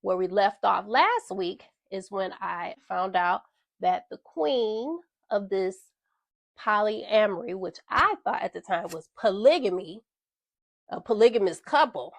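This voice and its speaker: Slow-talking frank woman, gravelly and stuffy. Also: mid-range voice, dry recording. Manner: frank, opinionated, addresses listeners as y'all. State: congested, a bit stuffy. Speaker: podcast host. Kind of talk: storytime monologue.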